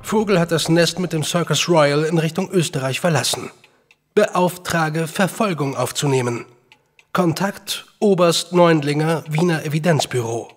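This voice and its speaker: An actor playing an official reading a telegram in clipped phrases in an audio drama. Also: male voice, on the high side, normal speed, soft background music, natural voice. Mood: terse.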